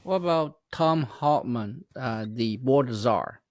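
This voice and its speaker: A man's voice: medium pitch, expressive, slow pace, darkish tone, no echo, studio quality. A man hosting an interview show, asking questions with frequent stumbles and self-corrections. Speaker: host. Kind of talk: casual interview.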